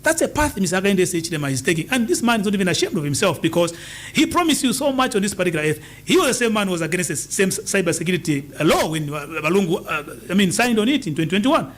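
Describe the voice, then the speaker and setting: A man with a notably high-pitched voice speaks as a political guest. He talks in an emphatic, campaigning way, hammering home his points and repeating them for stress. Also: very animated, fast talker, slight background noise. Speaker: political party leader as studio guest. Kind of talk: political interview answers.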